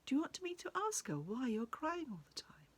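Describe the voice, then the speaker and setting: A woman's voice: a little high, big pitch swings, fairly quick, breathy edge. A woman storyteller voicing a polite, helpful man wandering in a folk tale, obliging and slightly hesitant. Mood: polite, concerned. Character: polite, helpful young male wanderer seeking his luck.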